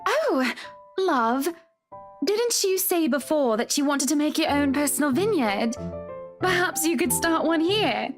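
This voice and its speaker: Very high, sweet doting wife. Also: female voice, big pitch swings, a little slow, soft background music. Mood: sweet, eager. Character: doting, refined wealthy wife, sweet and eager. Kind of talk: visual novel game voice acting.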